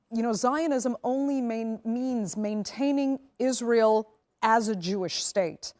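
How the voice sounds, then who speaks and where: A woman's voice: medium pitch, a little mellow, no room sound. A woman interviewer asking probing questions and laying out counterarguments at length.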